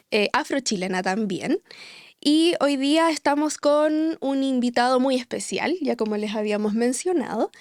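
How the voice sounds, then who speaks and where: A young woman with a Chilean Spanish accent hosts a conversational podcast, speaking reflectively and often checking in with tag questions. Her voice sits fairly high, and the recording is dry and close. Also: a little mellow, no echo. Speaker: host.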